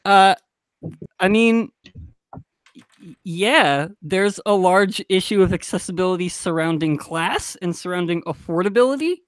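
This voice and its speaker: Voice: medium pitch, a little slow, no room sound. A man speaking as a panelist in a casual discussion, frank and informal with a dry turn of phrase. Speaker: podcast panelist.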